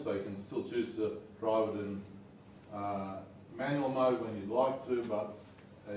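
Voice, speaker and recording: A man's voice: on the low side, a little mellow, far from the mic, slight background noise. A man giving a talk to a live audience, speaking conversationally and putting questions to the crowd. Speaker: guest speaker. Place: lecture room before an audience. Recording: echoey room, off mic, faint ambience.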